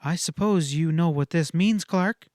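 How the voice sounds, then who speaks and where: A man voicing a scornful, quick-tempered young frontier woman in a western audiobook, speaking in emphatic, cutting outbursts. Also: on the high side, a little mellow, no room sound, clean studio sound, pitched above the performer's own voice, natural voice.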